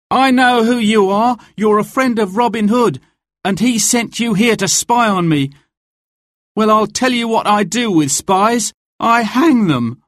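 A man's voice: high-pitched, a bit bright, no room sound, clean studio sound, voice pushed up, exaggerated voice. A man voicing an earnest, upset young male outlaw recounting bad news in a storybook. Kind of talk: storybook character voice.

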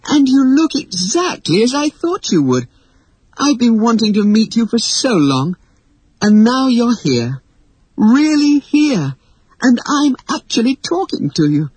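A storybook narrator voicing an excited, chattering young girl with a gushing, emphatic manner. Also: medium pitch, a little slow, husky, dry recording, voice pushed up, rough recording. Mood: delighted gushing. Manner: gushing, breathless, emphatic exclamations. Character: excited, chattering young girl, warm-hearted and wide-eyed. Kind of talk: acted storybook dialogue.

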